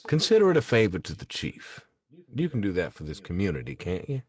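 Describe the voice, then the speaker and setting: An actor reading a pious, politely pushy activist in a film script, with a hesitant, ingratiating, folksy manner. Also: male voice, sits low, wildly swooping pitch, brisk. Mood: politely pressuring. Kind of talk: table read of a film script.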